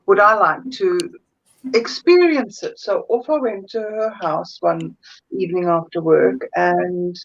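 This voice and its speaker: Slow-speaking South African woman. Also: mid-range voice, creaky, lo-fi sound. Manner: thoughtful, searches for words, many fillers. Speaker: guest energy healer. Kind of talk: casual interview chat.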